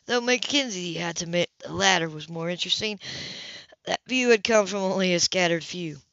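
A woman's voice: lowish voice, brisk, gravelly, a bit bright, no room sound, highs cut off. A woman reading a novel aloud as an audiobook, sometimes stumbling and restarting on words. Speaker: audiobook reader. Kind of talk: audiobook narration.

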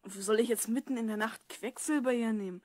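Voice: mid-range voice, a little fast, darkish tone, no echo, studio quality, close to an everyday voice. A performer playing an eager, curious boy of twelve in an audio drama. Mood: puzzled. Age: child character, twelve. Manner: eager, questioning. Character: Mark, curious, helpful twelve-year-old boy. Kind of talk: German audio drama acting.

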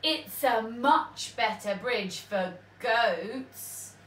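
A woman voicing a tiny, frightened spider from a storybook in a very high, squeaky, drawn-out voice. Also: lively intonation, fairly bright tone, exaggerated voice. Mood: persuading. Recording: echoey room, mic at a distance.

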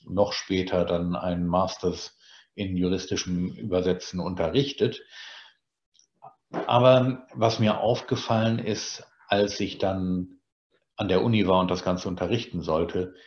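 Deep-voiced bilingual man giving a webcam lecture. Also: fairly even intonation, a little slow, darkish tone, slight background noise. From Germany and England. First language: German and English, bilingual. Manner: explains slide points, frequent "äh" fillers. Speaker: translator giving a presentation. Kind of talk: online lecture presentation. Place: home study with bookshelves. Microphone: webcam on a video call. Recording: roomy, dull lo-fi sound.

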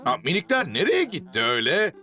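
A narrator telling a children's cartoon, with many exclamations and quick jumps into the characters' lines. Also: mid-range voice, wildly swooping pitch, bright, forceful tone. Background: cartoon music.

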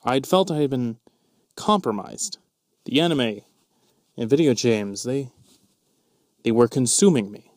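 A man's voice: mid-range voice, expressive, a little slow, pauses often, darkish tone, no echo, studio quality. Brooding mock-dramatic male narrator. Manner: overwrought, brooding.

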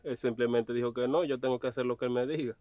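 A young gatekeeper talks in a teasing, mildly mocking way, as an actor plays him, in an ordinary mid-range voice. The sound is dry and a little dull, recorded outdoors. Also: male voice, medium pitch, steady tone, quick pace, no room sound, close to an everyday voice. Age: young adult. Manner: teasing, chuckling. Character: young male gatekeeper servant, mocking but obedient. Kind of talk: web drama acting. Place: outdoors at a farm gate.

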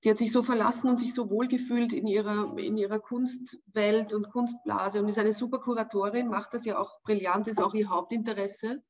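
A woman in her forties with an Austrian German accent, answering interview questions at home. She speaks in a measured, reflective way, often pausing with fillers as she weighs her words. The sound is from a webcam on a video call. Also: mid-range voice, fairly even intonation. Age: 40s. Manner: thoughtful, searching for words, frequent fillers. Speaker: actress being interviewed. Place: home room with wood panelling, indoors.

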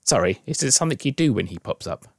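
A man's voice: medium pitch, big pitch swings, fast talker, gravelly, no room sound, studio quality. A man voicing a friendly, chatty young schoolgirl witch in a story.

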